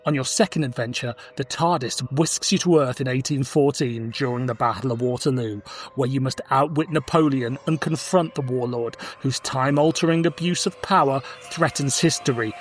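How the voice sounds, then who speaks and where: A man's voice: medium pitch, normal speed. A man in his forties hosting a podcast, switching between casual chat and scripted summaries. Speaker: podcast host.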